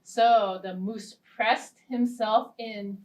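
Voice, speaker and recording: A woman hosting a children's storytime, reading and talking to small children in a playful, expressive way. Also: mid-range voice, takes it slowly, poor audio. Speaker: children's librarian, storytime host. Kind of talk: children's storytime with read-aloud story and songs.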